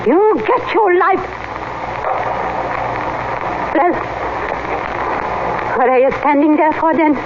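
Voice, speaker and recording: Scolding bitter wife, high voice, slow delivery, dull lo-fi broadcast. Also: female voice, expressive. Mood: threatening. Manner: scolding, contemptuous, threatening. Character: bitter nagging wife, middle-aged, scornful. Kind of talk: 1940s radio acting. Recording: dull old radio broadcast with loud hiss.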